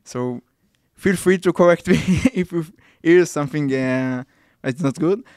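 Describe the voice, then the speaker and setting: A man with an Israeli accent, a native Hebrew speaker, hosting a casual interview in halting, non-native English phrasing. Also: on the high side, darkish tone, smooth, no room sound, studio quality. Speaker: podcast host.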